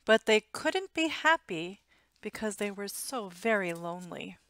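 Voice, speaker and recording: An American woman in her thirties reading a children's picture book aloud in an expressive, deliberate way, on a headset mic in a small room at home. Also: mid-range voice, dry recording. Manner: expressive, deliberate, simple storytelling for young children. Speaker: storytime reader.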